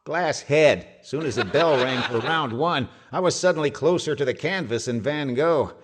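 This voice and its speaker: A man's voice: medium pitch, expressive, normal speed, a little hiss or hum, close to an everyday voice. A man playing a blustering, wisecracking old husband in an old-time radio comedy, with pompous patter and teasing insults. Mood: boastful.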